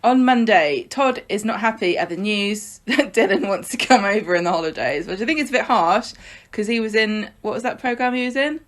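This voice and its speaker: A woman's voice: medium pitch, animated, a little fast, hardly any pauses. A woman co-hosting a podcast, chatting casually and frankly about a soap opera, often trailing off mid-thought. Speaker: podcast co-host.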